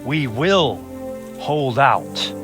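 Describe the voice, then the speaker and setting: Stern medieval commander over music. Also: male voice, medium pitch, expressive. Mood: resolute. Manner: authoritative, measured, firm. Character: stern, commanding medieval nobleman and wounded war leader. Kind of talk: dramatic video game cutscene acting. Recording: music behind the voice.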